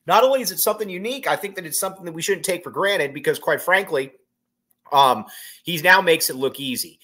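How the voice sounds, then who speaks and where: Man, 30s, sports podcast host, somewhat high voice, rambling, filler-heavy, home room, webcam audio. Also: lively intonation, quick pace, pushed and bright. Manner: rambling, repeats phrases, many fillers. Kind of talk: solo postgame reaction commentary. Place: small room at home, indoors.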